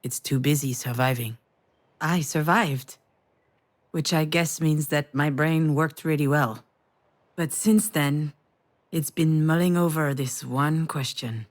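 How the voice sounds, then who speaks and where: A low-voiced, troubled woman. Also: steady tone, takes it slowly. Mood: reflective. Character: troubled woman haunted by crash, anxious, introspective. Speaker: game heroine and narrator. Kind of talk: acted visual novel dialogue and inner narration. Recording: music behind the voice.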